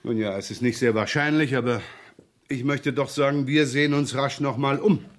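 An actor playing a scruffy, easygoing police inspector in a radio crime drama, talking in a wry, rambling, colloquial way. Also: male voice, mid-range voice, fairly even intonation, normal speed, background noise, natural voice. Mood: polite, probing. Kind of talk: 1970s German radio crime drama acting.

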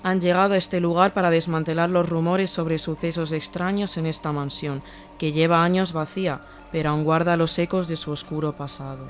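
Higher-pitched man narrating horror fiction. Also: fairly even intonation, normal speed, a little hiss or hum. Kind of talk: scripted horror story narration.

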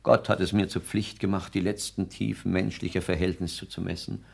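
A grandiloquent would-be man of action in a 1960s radio play. His voice sits very low with a creaky grain. He declaims solemnly and loftily, full of his own importance. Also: little pitch movement, normal speed, a little mellow, exaggerated voice. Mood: grandiose, exalted. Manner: high-flown, solemn, declamatory. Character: pompous self-styled artist lodger, a grandiloquent man of action.

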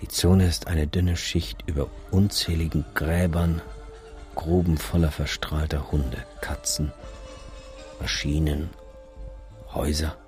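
A sardonic, sombre photographer tells his memories in a very deep voice. The delivery is measured and laconic, in radio drama style over clear music. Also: steady tone, darkish tone. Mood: grim, sardonic. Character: sombre, sardonic male photographer recalling a disaster.

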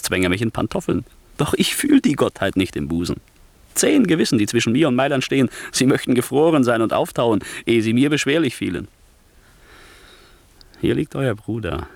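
A ruthless, smooth-tongued nobleman tempting his listener in a classic radio play. His low voice is husky and swings widely in pitch. He speaks in theatrical, rhetorical verse, full of insinuation and ambition. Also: no echo, exaggerated voice.

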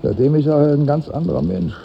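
A gravelly, cynical local editor. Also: male voice, a little high, fairly even intonation, fairly quick. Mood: wry, scornful. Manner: chatty, wry. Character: cynical, gossipy small-town local newspaper editor. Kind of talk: 1950s German radio drama acting. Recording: dull old radio recording, slight noise.

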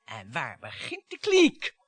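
An actress playing an embittered, taunting wife in a radio play, with a theatrical, mocking and volatile delivery. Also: female voice, low-pitched, huge pitch swings, takes it slowly, no room sound, exaggerated voice. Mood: bitter, resigned. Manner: dramatic, mocking, volatile monologues.

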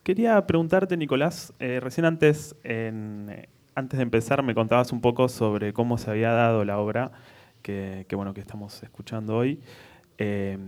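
A man, presenting and asking questions conversationally as a radio host, a little haltingly. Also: medium pitch, a little mellow.

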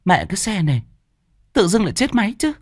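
A man's voice: on the low side, lively intonation, normal speed, warm tone, slightly rough, dry recording, studio quality. A man voicing a guarded young woman in an audio horror story, with polite but reserved speech. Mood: feigned annoyance.

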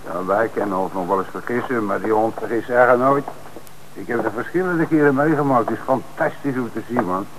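An actor playing an eager police detective who talks in a conversational, enthusiastic way in a radio drama. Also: male voice, medium pitch, brisk, slight background noise, close to an everyday voice, low-quality recording.

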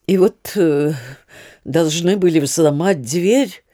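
An actress playing a talkative, deferential landlady in an audio drama, with a halting, rambling way of telling things. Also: on the low side, mellow, no echo, clean studio sound. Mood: sorrowful recounting. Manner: halting, rambling, repetitive storytelling. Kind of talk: Russian literary audio drama acting.

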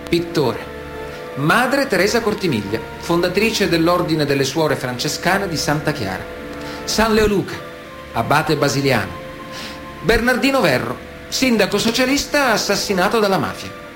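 An actor playing a stern, ironic chronicler, narrating a radio drama in clipped phrases with dramatic pauses. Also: male voice, sits high, sing-song, over music. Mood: grave, declamatory.

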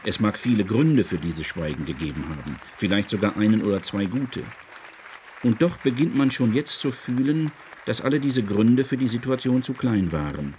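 A man with a fairly low voice makes a prepared statement. It sounds like an archive recording, with faint noise and crowd sound behind him. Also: darkish tone.

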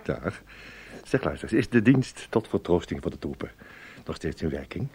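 Low-voiced, wry intelligence officer. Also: male voice, very animated, moderate pace, creaky, darkish tone, heavily acted. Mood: playful. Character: wry, tactful male intelligence officer breaking bad news. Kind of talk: Dutch radio play acting. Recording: noisy old radio drama recording.

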